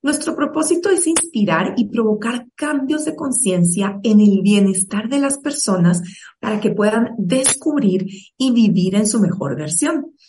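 A woman's voice: medium pitch, animated, measured pace, mellow, recorded from a distance. A woman from Guatemala, giving a motivational online talk and speaking directly and persuasively to her audience. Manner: addresses the listener directly, persuasive and encouraging.

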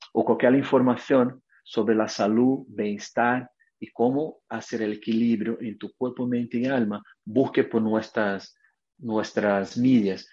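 A man's voice: medium pitch, darkish tone, muffled recording. Earnest Brazilian man speaking Spanish. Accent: Brazilian Portuguese. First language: Portuguese. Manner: earnest, motivational, speaks in short emphatic phrases. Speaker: naturopath guest. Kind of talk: video interview.

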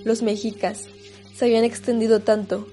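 A woman narrating a scripted historical radio drama in a measured storytelling manner. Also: a little high, little pitch movement, mellow, faint music behind.

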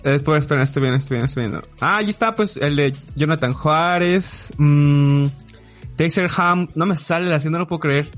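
Higher-voiced man bantering over music. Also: brisk. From Yucatán, Mexico. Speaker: show co-host. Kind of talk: casual banter. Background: background music.

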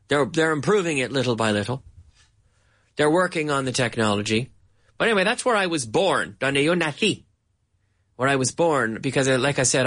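A Canadian man giving a bilingual English lesson, speaking slowly and repeating words over and over so learners can catch the sounds. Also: on the high side, a bit bright. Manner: slow, repeats words for drilling. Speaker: English teacher.

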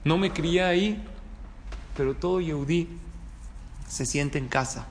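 A man's voice: a little high, unhurried. Mexican man preaching in a reverberant hall. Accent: Mexican Spanish. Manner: conversational, checking in with listeners through rhetorical questions. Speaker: rabbi giving a talk. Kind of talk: informal religious sermon with anecdotes. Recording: reverberant hall, clear background room noise.

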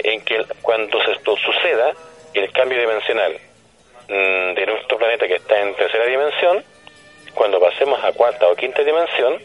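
A man's voice: medium pitch, a bit bright. Chilean man explaining on dull radio line. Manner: didactic, asks rhetorical questions and checks understanding. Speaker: radio guest, paranormal commentator. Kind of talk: explanatory radio interview conversation.

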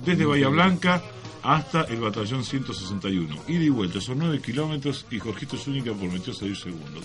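A man's voice: mid-range voice, a bit bright. Argentine male radio host over music. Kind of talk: casual unscripted sign-off chat.

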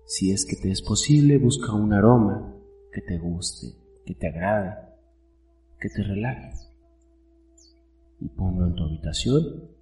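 A man guiding a meditation in a soft, warm, calm and gentle voice, unhurried and full of pauses. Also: deep voice, a little hiss or hum. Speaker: host and guide of a guided meditation show. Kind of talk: guided meditation, soothing narration. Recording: roomy echo, distant mic, soft music.

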